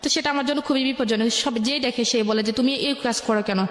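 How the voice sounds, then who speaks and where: Echoing reverberant room, young woman, Bangladeshi accent, higher-pitched, halting personal storytelling, rambling. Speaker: guest telling her life story. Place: echoey indoor radio studio. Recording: echoey room with long reverb.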